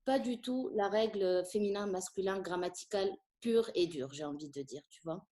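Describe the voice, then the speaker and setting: A middle-aged woman from Tunisia whose first language is Arabic, a French teacher speaking thoughtfully in a conversational interview, pausing often with fillers. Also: medium pitch, mellow. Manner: thoughtful, hesitant with frequent fillers.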